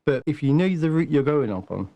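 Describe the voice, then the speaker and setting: A man's voice: a little high, expressive, brisk, warm tone. A man, answering casually as an interview guest in a matter-of-fact, explanatory way. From Nottingham, England. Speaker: podcast guest, martial arts instructor.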